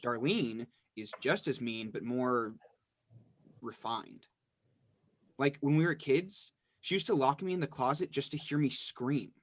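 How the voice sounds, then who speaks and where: A man's voice: medium pitch, unhurried, no echo, lightly acted. A man playing a withdrawn, subdued teenage brother in a dramatized script reading, speaking hesitantly. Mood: reflective.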